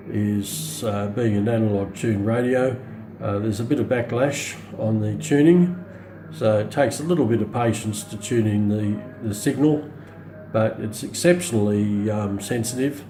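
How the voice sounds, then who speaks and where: A middle-aged Australian man with a mellow, relaxed voice on the low side. He talks casually and hesitantly, pausing often as he demonstrates a product. The sound is roomy and echoey, recorded indoors on a camera mic. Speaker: hobbyist radio reviewer. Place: indoors on a hard countertop, small room. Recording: roomy echo, faint background noise.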